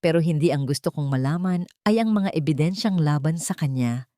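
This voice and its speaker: Woman voicing a commanding, suspicious businessman, lively intonation. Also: low-pitched, a little fast, dry recording, clean studio sound. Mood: firm, secretive.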